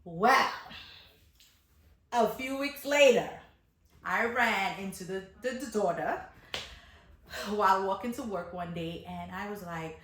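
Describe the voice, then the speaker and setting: An echoey room surrounds a woman vlogger telling a personal story. She talks casually and slangily, straight to her viewers, throwing in rhetorical questions and acting out who said what. Her pitch sits in an ordinary middle range for a woman. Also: takes it slowly, pauses often, a bit bright. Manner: acts out dialogue with exclamations and rhetorical questions; chatty and slangy, addressing viewers directly.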